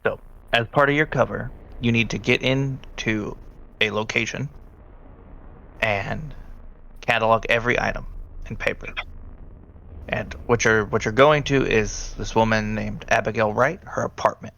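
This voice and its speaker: A man's voice: lowish voice, fairly even intonation, measured pace, creaky, pauses often, fairly bright tone, poor audio. A man improvising narration as a tabletop game master, talking conversationally and directly to his players.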